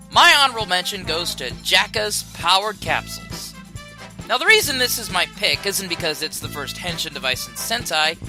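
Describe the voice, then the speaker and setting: A guest contributor giving scripted comic review commentary, with dry exaggeration in the jokes. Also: medium pitch. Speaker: guest contributor to a countdown video.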